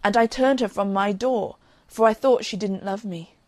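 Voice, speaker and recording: A woman's voice: medium pitch, normal speed, darkish tone, no echo, lightly acted. A woman voicing a grieving father in a fairy tale, confessing his regret sorrowfully. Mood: regretful.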